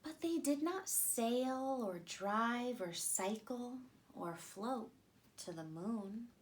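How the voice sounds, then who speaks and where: A woman in her thirties reading a children's picture book aloud with deliberate, expressive phrasing. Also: fairly high pitch. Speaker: storytime reader.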